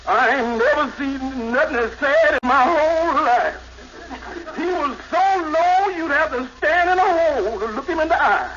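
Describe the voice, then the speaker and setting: An actor playing an excitable, gossipy, wisecracking crony in a 1950s radio comedy, exaggerating everything in folksy grammar. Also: male voice, very high voice, normal speed. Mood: excited, gossipy. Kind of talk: 1950s radio sitcom acting. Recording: muffled, very noisy 1950s radio recording.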